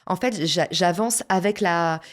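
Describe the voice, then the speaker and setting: A French woman telling a personal story in a casual interview, lively and slangy, with many fillers and restarts. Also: lowish voice, a little slow. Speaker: podcast guest, entrepreneur.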